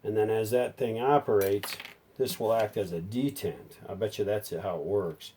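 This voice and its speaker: A man with a fairly low, very mellow and relaxed voice talks through a hands-on repair. He is unscripted and folksy, working things out aloud as he goes. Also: normal speed, a little hiss or hum. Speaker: hobbyist repairer presenting a how-to video.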